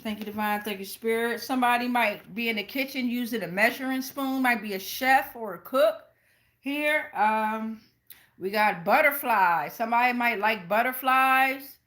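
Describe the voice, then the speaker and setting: A woman's voice: on the high side, moderate pace, fairly bright tone. An American woman giving a casual live tarot reading in a slangy, profane, rambling way. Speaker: tarot reader, channel host.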